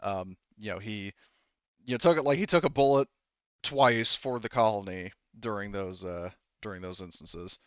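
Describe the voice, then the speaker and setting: A man working as game master, improvising tabletop game narration in a halting, rambling, informal way. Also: fairly low pitch, smooth, dry recording, dull audio.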